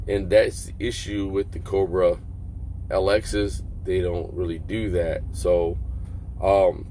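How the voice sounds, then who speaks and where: Deep-voiced man rambling over vehicle hum. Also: a little mellow. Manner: rambling, plainspoken, halting. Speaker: trucker reviewing a CB radio. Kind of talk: casual vlog product review. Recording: clear vehicle and air-conditioning hum.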